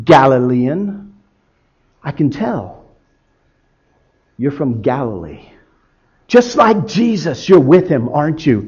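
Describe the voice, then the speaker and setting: A man's voice: fairly high pitch, sing-song, slow pace, long pauses, a little mellow, slightly rough, lo-fi sound, voice pushed down, exaggerated voice. A man voicing accusing bystanders in a dramatic biblical story, speaking in a pointed, insistent way. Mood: menacing, suspicious.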